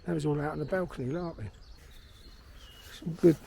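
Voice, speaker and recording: A man's voice: fairly high pitch, brisk, gravelly, mellow. Sweary middle-aged London man outdoors. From London, England. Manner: sweary, blunt, chatty. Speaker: vlogger, filmmaker. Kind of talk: rambling casual monologue while walking. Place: outdoors in a park. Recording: outdoor background noise. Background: birdsong and outdoor ambience.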